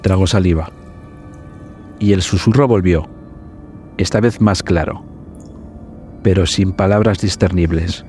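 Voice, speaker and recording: A man narrates a horror tale in a low, dark, mellow voice. His storytelling is measured and dramatic, as in a scripted suspense podcast, with music underneath. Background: background music.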